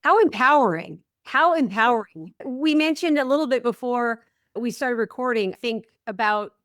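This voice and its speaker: A woman's voice: a little high, animated, moderate pace, fairly bright tone, no room sound, studio quality. Enthusiastic American podcast host woman. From United States. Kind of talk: interview host.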